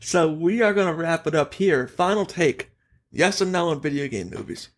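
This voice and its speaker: Man, slightly high voice, podcast host, casual chat, emphatic, opinionated, self-correcting. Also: animated, moderate pace.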